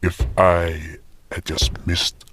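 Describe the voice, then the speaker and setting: Slow, deep-voiced man playing a solemn hunter. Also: level tone, very noisy. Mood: grave. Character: solemn hunter recounting a monster fight. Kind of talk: scripted dramatic fantasy story narration. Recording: music bed behind the voice.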